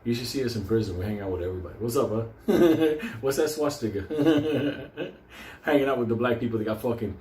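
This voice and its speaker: A man's voice: medium pitch, lively intonation, brisk, warm tone, reverberant. A man from Puerto Rico whose first language is Spanish, telling profane, rambling stories and laughing often as host of his own show. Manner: rowdy, laughs often, swears constantly. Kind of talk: casual profane storytelling monologue.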